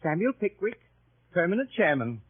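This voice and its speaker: An actor in a 1930s radio drama playing an earnest, fussy older gentleman, a club chairman, with a husky voice. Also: high-pitched, big pitch swings, normal speed, exaggerated voice. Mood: earnest, dignified. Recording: muffled thin 1930s radio recording.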